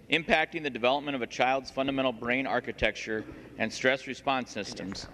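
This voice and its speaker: A sharp, bright male voice of medium pitch rings in a reverberant chamber. A legislator in his forties reads formally. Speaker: state legislator. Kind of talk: formal reading of a resolution. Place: large indoor legislative chamber. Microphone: handheld floor microphone.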